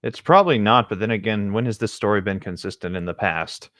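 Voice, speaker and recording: Low-voiced deadpan man, casual commentary. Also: fairly quick, dry recording, studio quality. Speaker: co-host of a comedic story reading. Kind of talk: casual commentary and read-aloud.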